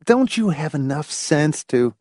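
Audiobook lead male reader: resentful, bewildered husband, indignant, animated intonation. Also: on the high side, unhurried, dry recording, clean studio sound, voice pushed up.

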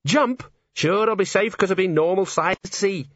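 A tiny, fearless gnome man, put on by a male audiobook reader, blunt and gruff with a lilt and a voice pitched up and lively. The sound is dry and a little dull. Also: normal speed, dry recording, lightly acted. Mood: cocky.

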